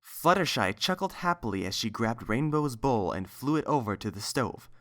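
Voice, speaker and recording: Animated male story narrator. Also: mid-range voice, normal speed.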